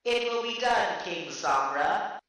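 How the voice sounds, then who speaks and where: Man putting on a loud, high, obedient undead guard. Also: expressive, takes it slowly, dry recording, exaggerated voice. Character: obedient soulless male undead pony royal guard.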